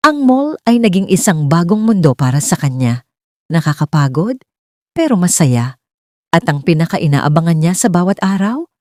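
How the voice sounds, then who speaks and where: A woman's voice: lowish voice, very animated, moderate pace, darkish tone, dry recording, clean studio sound. A woman reading a scripted romance story as its narrator.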